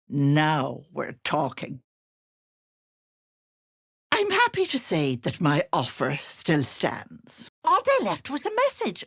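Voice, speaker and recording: Game role: older potion-selling witch auntie, syrupy and scheming, slow delivery with wildly animated pitch. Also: no echo, clean studio sound. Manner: cooing endearments laced with menace. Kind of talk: video game character voice lines.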